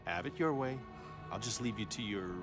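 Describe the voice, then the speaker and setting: An actor playing a cocky, flippant action star in a fighting game, wisecracking with an American accent. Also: male voice, fairly low pitch, animated, moderate pace, music in the background, close to an everyday voice. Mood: smug bravado. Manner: brash, wisecracking.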